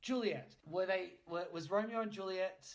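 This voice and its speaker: A man's voice: high-pitched, normal speed. A man hosting a podcast, chatting casually and asking questions as an interviewer. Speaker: podcast host.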